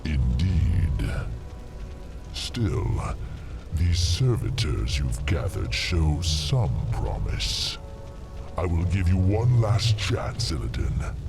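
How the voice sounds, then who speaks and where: An actor voicing a towering, arrogant demon tyrant in a fantasy game, booming and gravelly with a pompous, menacing manner. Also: male voice, sing-song, very slow, full character voice. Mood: threatening, contemptuous. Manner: pompous, imperious. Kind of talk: dramatic fantasy game acting. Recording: loud orchestral music behind.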